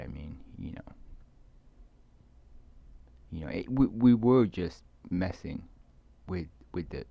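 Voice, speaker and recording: Low, creaky-voiced man rambling hesitantly. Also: warm tone, highs cut off. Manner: hesitant, halting, trailing off, often repeating words. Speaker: video creator speaking to viewers. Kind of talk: rambling casual monologue.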